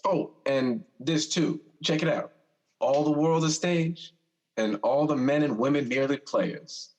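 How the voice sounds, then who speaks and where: An actor playing a gentle, wistful young man in an audio drama, speaking in a measured, musing way. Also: medium pitch, low-quality recording. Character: gentle, philosophical young man, wistful older brother figure.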